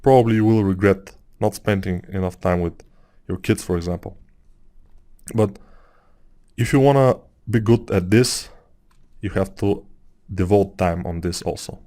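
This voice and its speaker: A man's voice: deep voice, a little slow, dark tone. A man in his thirties from Bulgaria, with a Bulgarian accent, talking through a 3D sculpting tutorial in a rambling, musing way with many fillers. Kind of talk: casual tutorial talk over screen work.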